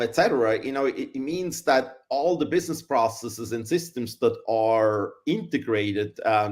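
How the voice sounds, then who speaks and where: A middle-aged man speaks at a steady middle pitch. He answers in a measured, thoughtful way, often pausing on fillers and restarting his sentences. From Switzerland. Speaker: guest, engineering consultant. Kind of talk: conversational interview answers.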